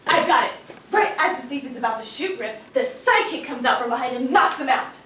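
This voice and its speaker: A woman's voice: high-pitched, expressive, a little fast. An actress playing a domineering, sarcastic, short-tempered female scriptwriter who snaps and bosses. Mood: bossy, snapping. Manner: sarcastic, bossy, snapping. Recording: very echoey, distant stage recording with hiss.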